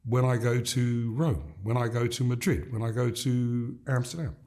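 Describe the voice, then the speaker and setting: An older man from Gambia speaks as a guest in an interview, measured and emphatic. He stacks his points in repeated phrases. His voice sits mid-range and sounds mellow and warm. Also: medium pitch, fairly even intonation. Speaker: guest, leadership coach. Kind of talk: reflective interview conversation.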